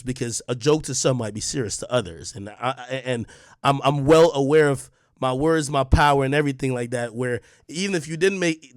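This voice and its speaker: Young adult man with a slightly high voice, heated rant to camera, dry close mic, confrontational. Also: no room sound. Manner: confrontational, heated, repeats points. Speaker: online media personality.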